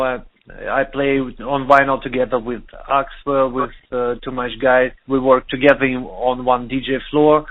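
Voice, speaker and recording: A man from Russia with a Russian accent, speaking halting English as a DJ being interviewed, pausing to search for words. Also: medium pitch. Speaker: DJ and producer. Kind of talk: interview over the phone. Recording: tinny phone line.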